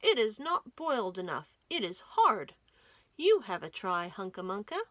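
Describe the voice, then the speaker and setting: A woman's voice: mid-range voice, big pitch swings, a little slow, bright, forceful tone. A woman voicing a grumpy, bossy male mouse husband from a children's storybook, curt and commanding. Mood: complaining.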